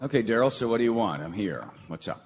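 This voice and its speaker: A man's voice: lowish voice, lively intonation, quick pace, soft background music. An actor playing an earnest, eager young man in a lighthearted radio play. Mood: wary. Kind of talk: lighthearted radio theatre acting. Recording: noisy, reverberant hall stage recording.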